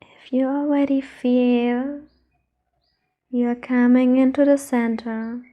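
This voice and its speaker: High-voiced slow woman guiding meditation. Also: flat delivery, dull audio. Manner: soothing, unhurried, gentle instructions with pauses. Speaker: meditation teacher, channel host. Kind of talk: guided meditation.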